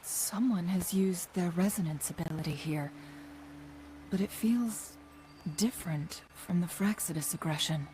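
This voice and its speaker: A woman voicing a composed, knowledgeable young guide in a fantasy video game, speaking in a measured, formal, thoughtful way. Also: lowish voice, mellow, slight background noise, lightly acted. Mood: calm, resolute. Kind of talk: scripted video game voice acting.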